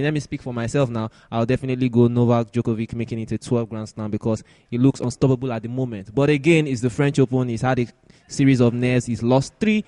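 A man's voice: mid-range voice, dark tone, no room sound. Man hosting a sports podcast. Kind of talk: conversational sports discussion.

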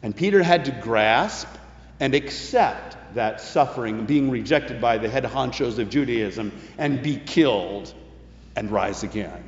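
A man preaching a sermon as a pastor, phrasing his points deliberately and emphatically with some colloquial turns. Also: mid-range voice. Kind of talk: sermon with scripture commentary. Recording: echoey hall, long reverb, dull sound.

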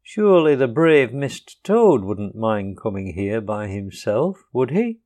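A man's voice: mid-range voice, big pitch swings, slow pace, darkish tone. A man voicing a small, timid, worn-out male mole who speaks plaintively. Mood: frightened. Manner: frightened, plaintive.

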